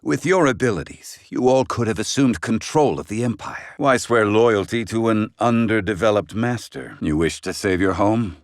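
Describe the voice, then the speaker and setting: A man playing a proud, stern older inventor in a video game, speaking in formal, archaic language with gruff, haughty curtness. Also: lowish voice, moderate pace, hardly any pauses, no echo, clean studio sound, lightly acted. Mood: grave, questioning. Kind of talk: scripted video game voice acting.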